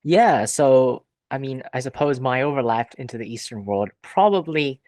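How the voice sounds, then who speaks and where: A man's voice: mid-range voice, lively intonation, normal speed, a little mellow, no room sound. A young man from Hong Kong, a philosophy PhD student, answering interview questions thoughtfully with some hesitation.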